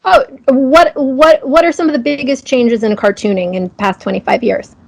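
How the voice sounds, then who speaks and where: A woman's voice: high-pitched, moderate pace, gravelly, rough recording. A woman interviewer putting questions to a guest in a casual, conversational style. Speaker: interviewer, host.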